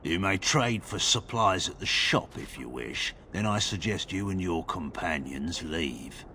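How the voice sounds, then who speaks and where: Fantasy game village guard: low and forceful, curt, suspicious, formal disdain. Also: male voice, animated, measured pace, a little hiss or hum, exaggerated voice. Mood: disdainful. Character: stern, unwelcoming male village guard, suspicious of outsiders. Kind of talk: fantasy video game acting.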